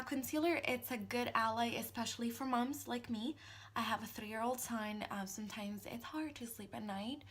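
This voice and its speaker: A woman's voice: a little high, a bit bright. A woman in her thirties from Italy with an Italian accent, casually talking through a makeup routine, full of ums and small restarts. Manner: chatty, with frequent ums and restarts. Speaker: makeup tutorial host. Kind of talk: casual how-to narration.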